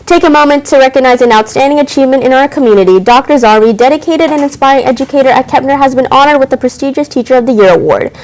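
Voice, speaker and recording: A teenage girl anchoring a school news show, reading announcements briskly with occasional stumbles. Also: sits high, darkish tone, rough recording. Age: teens.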